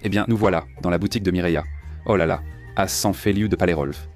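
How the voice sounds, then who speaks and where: Deep-voiced man vlogging on a bike ride. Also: fast talker, soft background music. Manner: chatty, enthusiastic, often repeats "regarde". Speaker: vlog host, cyclist. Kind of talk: casual on-the-ride vlog chat.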